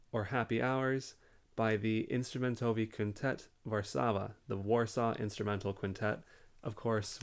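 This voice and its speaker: A man presenting as a collector in an informative explainer style, measured and articulate. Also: fairly low pitch, creaky. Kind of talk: informative explainer to camera or over shown records.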